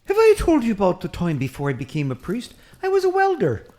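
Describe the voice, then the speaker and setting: A woman voicing a chatty, excitable Irish priest, a short man who rambles eagerly in an Irish accent in a comic audio drama. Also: medium pitch, a little fast. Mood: rambling, curious. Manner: rambling, eager, easily distracted.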